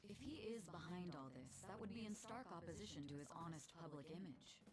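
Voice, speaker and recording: An actress playing a stern, composed prosecutor in a video game, speaking formally and deliberately. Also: female voice, lowish voice, lively intonation, over a noisy crowd, lightly acted. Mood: analytical. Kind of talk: dramatic video game voice acting. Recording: very loud music under the voice.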